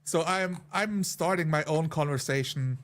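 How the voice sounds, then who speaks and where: A man in a casual discussion as a game player, talking haltingly as he thinks aloud. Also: fairly high pitch, a bit bright. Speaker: tabletop role-playing player.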